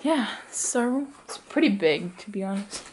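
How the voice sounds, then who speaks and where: A woman's voice: mid-range voice, lively intonation, a little slow, background noise. A teenage girl vlogging in a casual, chatty and giggly way, filming herself at arm's length around a resort hotel. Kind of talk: casual vlog chat. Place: resort hotel room and grounds. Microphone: camera held at arm's length.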